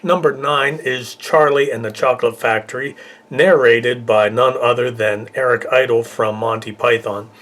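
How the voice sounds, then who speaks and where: A man hosts with a casual but scripted delivery. His voice sits at a medium pitch as he moves through a countdown list. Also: steady tone, moderate pace, a bit bright. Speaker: video host.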